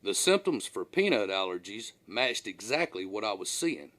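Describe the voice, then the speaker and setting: A man reading his own first-person story aloud as a scripted audiobook, in an animated, dramatic storyteller's manner. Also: fairly low pitch, normal speed.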